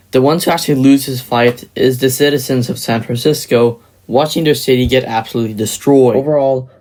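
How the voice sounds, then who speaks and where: A man's voice: medium pitch, darkish tone. Man narrating a scripted versus-battle explainer. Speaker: video narrator.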